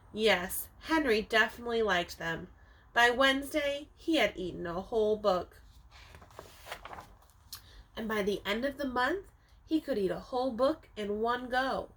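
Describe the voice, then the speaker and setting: A woman teacher reading a picture book aloud to young children, expressively, with dramatic emphasis and drawn-out words, speaking to them directly. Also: fairly high pitch, a bit bright. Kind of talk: animated children's storybook read-aloud.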